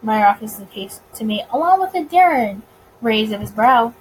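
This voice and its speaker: A woman reading a visual novel aloud as a let's player, expressively and with dramatic pauses. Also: a little high, expressive, slight background noise, poor audio. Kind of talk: expressive story reading with reactions.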